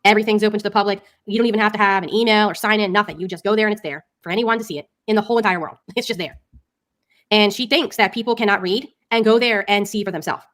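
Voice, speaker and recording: Female commentator, quick talker, informal and opinionated, emphatic delivery. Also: medium pitch, steady tone, fairly bright tone. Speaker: commentary streamer.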